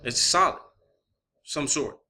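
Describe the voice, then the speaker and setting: A man's voice: fairly high pitch, slow pace, bright, forceful tone. A man giving an informal opinion monologue as a video host, emphatic and combative, often swearing. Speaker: video host presenting own theory.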